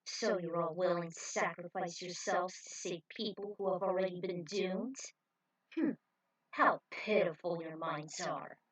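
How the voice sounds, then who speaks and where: A woman voicing a cruel female villain racer who taunts slowly and scornfully. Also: lowish voice, low-quality recording. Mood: sneering. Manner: slow, sneering, taunting.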